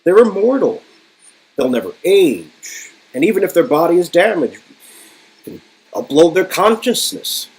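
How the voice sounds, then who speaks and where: A man's voice: sits high, very animated, a little slow, husky, voice pushed up, full character voice, poor audio. A man playing a grandiose, smug, absent-minded male inventor villain with a theatrical, stammering delivery in a dramatic reading of game dialogue. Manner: theatrical, stammering, self-important.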